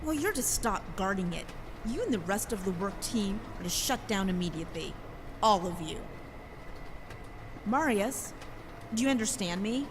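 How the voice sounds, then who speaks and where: A woman's voice: mid-range voice, expressive, normal speed, pauses often, background noise, close to an everyday voice. An actress in an audio drama playing a haughty, dangerous noblewoman with an imperious, formal and cutting manner. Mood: commanding, annoyed. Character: haughty, lethal, easily annoyed adult noblewoman.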